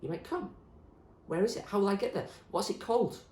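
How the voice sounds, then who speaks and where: Man voicing a desperate high-pitched storybook girl. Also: a little fast, darkish tone, roomy sound, lightly acted. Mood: doubtful, curious. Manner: urgent, exasperated pleading. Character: desperate, frustrated girl urging a friend to flee. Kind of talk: acted storybook dialogue.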